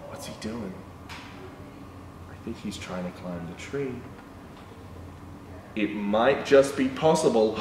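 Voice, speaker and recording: A young man reading a picture book aloud to children as a storytime presenter, in an animated, exclaiming manner. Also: mid-range voice. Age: young adult. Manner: animated, exclaiming, with excited gasps and asides. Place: indoor room with painted backdrop. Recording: roomy, distant mic, background noise.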